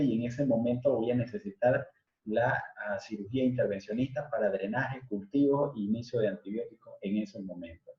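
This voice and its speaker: Man lecturing medicine in an echoey room. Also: mid-range voice. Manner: explanatory, checks in with "¿ya?" and "¿listo?". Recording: dull echoey room recording.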